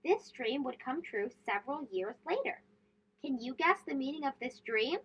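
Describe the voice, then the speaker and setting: A woman's voice: sits high, animated, moderate pace, breathy edge, highs cut off. A woman hosting a children's read-aloud, telling storybook tales emphatically and putting questions to young listeners.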